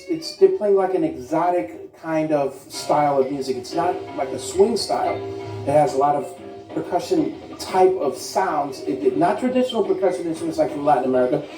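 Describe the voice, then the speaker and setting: A man's voice: fairly high pitch, dark tone. A man giving an informal music history talk, explaining and rephrasing as he goes. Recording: echoey room, distant mic, music underneath.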